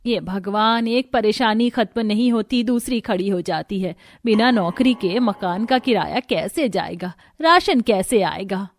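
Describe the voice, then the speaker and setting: A woman's voice: medium pitch, animated, measured pace, few pauses, a little hiss or hum. A woman voicing a protective, fretful mother-in-law of a poor family in a cartoon story. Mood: worried. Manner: cautious, fretful.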